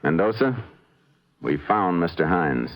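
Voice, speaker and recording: An actor playing a calm, methodical lawman with a measured, probing manner in a vintage radio drama. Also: deep voice, muffled recording. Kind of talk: 1950s radio acting. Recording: hissy vintage radio recording.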